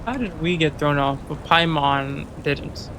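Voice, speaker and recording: Gravelly, sarcastic man commenting on a game. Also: fairly high pitch, measured pace, background noise. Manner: sweary, sarcastic, grumbling. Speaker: game streamer. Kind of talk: casual playthrough commentary.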